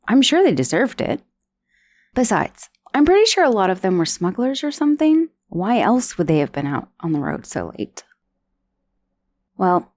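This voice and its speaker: A woman's voice: medium pitch, a little mellow, no room sound. A woman playing an undead dullahan's severed head in an ASMR roleplay, conversational and dryly sardonic, menacing yet wistful, with sighs and hesitations. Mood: breezy, defensive.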